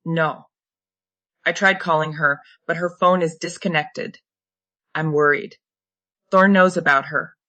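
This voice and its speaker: A woman's voice: deep voice, steady tone, measured pace, long pauses, bright, forceful tone, dry recording. A woman playing a worried but determined tech-savvy fugitive in a screenplay table read.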